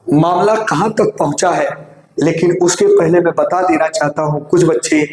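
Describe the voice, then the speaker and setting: Earnest exam-coaching teacher, man, slightly hoarse throat, voice sitting a bit high, recorded in a reverberant room. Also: darkish tone. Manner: earnest, repeatedly urges students to listen carefully. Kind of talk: direct-to-camera exam news update. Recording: roomy echo.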